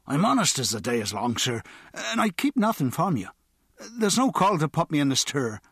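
A man's voice: big pitch swings, normal speed, fairly bright tone, slightly rough, no room sound, heavily acted. A male narrator voicing a nervous, sweating male street informant who pleads and fawns in a raised voice, recorded dry and close. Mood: pleading. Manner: pleading, servile, higher put-on voice.

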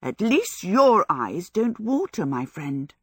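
A woman voicing a spry, skinny old woman with a brisk, tart manner in a storybook. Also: mid-range voice, sing-song, slightly rough, no room sound, clean studio sound, heavily acted. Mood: wry. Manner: brisk, exclamatory. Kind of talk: storybook character voice.

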